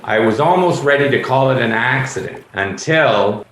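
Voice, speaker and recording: A man playing a shrewd, accusatory police inspector in a radio play, speaking with deliberate drama. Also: medium pitch, fairly bright tone, lightly acted. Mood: deliberate, dramatic. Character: shrewd, theatrical police inspector unveiling a murder. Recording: roomy, faint background noise.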